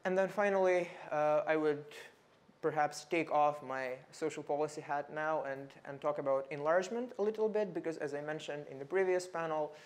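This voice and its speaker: A young man from North Macedonia speaking as a researcher on a panel, measured, with frequent fillers and repeated words. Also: on the high side. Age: young adult. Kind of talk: panel talk.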